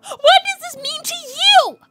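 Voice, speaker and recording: A woman's voice: very high-pitched, expressive, unhurried, loud music behind the voice. A woman voicing a lovestruck, fiery young female pink hedgehog in a fan cartoon, gushing and dramatic. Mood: indignant. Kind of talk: fan-made cartoon voice acting.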